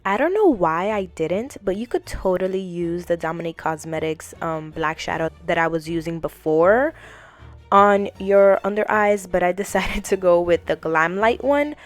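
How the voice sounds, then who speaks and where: A young woman with a raspy, hoarse voice after losing it, chatting casually through a makeup tutorial and giggling now and then. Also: lowish voice, lively intonation, normal speed, soft background music. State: hoarse, lost voice. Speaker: beauty vlogger.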